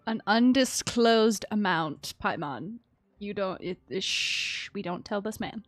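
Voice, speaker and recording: A woman's voice: medium pitch, expressive, slow pace, faint music behind. A woman streaming a game with casual, chatty, giggly and playfully dramatic commentary.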